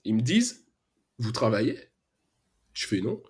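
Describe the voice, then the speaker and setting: Homme à l'accent antillais, voix voilée. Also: male voice, medium pitch, measured pace, husky. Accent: Antillean French. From Martinique. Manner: relaxed, frequent hesitations, casual slang. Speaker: podcast guest, freelance web developer. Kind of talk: casual storytelling interview.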